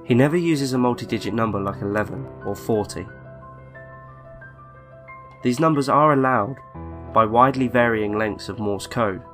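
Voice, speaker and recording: A man reading a scripted online horror story in a measured, deliberate way, with pauses between phrases. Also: medium pitch, a bit bright. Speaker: narrator of a creepypasta video. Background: background music.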